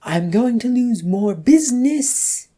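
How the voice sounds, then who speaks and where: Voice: mid-range voice, expressive, takes it slowly, warm tone, voice pushed up. A storyteller voicing a petulant, bossy, childish male office boss who complains indignantly.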